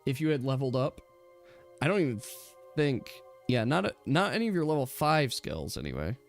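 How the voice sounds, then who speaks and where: Guy game mastering online, really animated pitch, explains stuff step by step, music behind him. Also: fairly quick. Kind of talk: narrating an online tabletop role-playing game.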